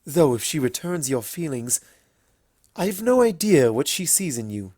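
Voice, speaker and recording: A man voicing a wise, fond and teasing elder pony princess in a storybook reading, gentle and knowing. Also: fairly high pitch, big pitch swings, measured pace, no room sound.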